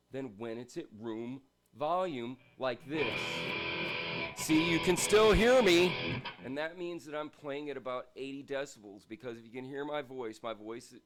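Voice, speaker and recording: A man with a big, booming voice talks through a guitar review at home in a loose, rambling rant. He punches key points loudly and then drops into quieter asides, at an ordinary pitch for a man. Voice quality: big, loud voice. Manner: emphatic, rambling, swings between loud bursts and quiet asides. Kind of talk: casual ranting gear review.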